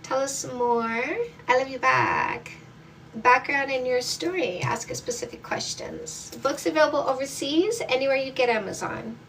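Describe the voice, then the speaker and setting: A woman's voice: sits high, normal speed, a bit bright, far from the mic, a little hiss or hum. A woman dating coach from Canada hosting a live stream, reading viewer comments aloud in an upbeat, affectionate way.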